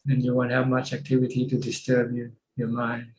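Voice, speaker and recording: A man's voice: mid-range voice, completely flat delivery, brisk, a little hiss or hum. A man, a Buddhist monk with a Thai accent, patiently explaining Buddhist practice over a video call, often repeating words as he goes. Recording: echoey video call audio.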